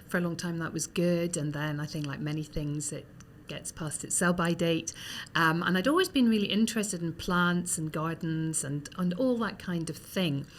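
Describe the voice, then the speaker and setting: A Scottish woman in her forties, a guest telling her story in a relaxed, chatty way with many ums. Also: low-pitched, slight background noise. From Scotland.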